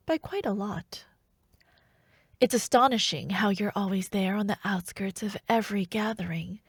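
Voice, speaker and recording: A woman playing a scheming young royal princess in an intimate ASMR fantasy roleplay, speaking in a hushed, teasing, haughty way. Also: medium pitch, no echo, studio quality.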